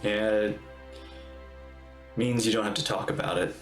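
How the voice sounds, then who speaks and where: Woman streamer, very deep voice, casual live game reactions, conversational, soft music behind. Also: measured pace.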